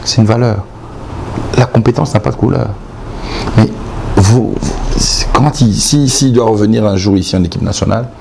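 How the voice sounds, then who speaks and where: A man's voice: lowish voice, creaky, noisy background. A man with a Congolese French accent giving outspoken, emphatic opinions in a sports interview, often repeating points for stress. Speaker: sports commentator being interviewed.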